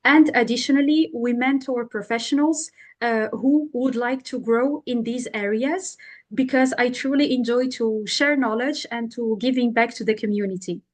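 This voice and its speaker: A woman's voice: fairly high pitch, moderate pace. A woman with a French accent, a consultant guest in a podcast interview, explaining things in simple words with frequent "uh" fillers.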